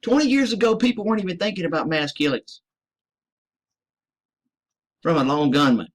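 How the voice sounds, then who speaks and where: A man's voice: a little high, very animated, normal speed. A man from the United States, a military veteran, delivering a profane, emphatic ranting monologue to his listeners. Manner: profane, emphatic, addresses listeners as ladies and gentlemen.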